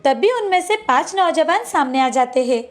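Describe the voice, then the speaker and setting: A woman's voice: high voice, lively intonation, normal speed. A woman narrator reading a scripted moral bedtime story.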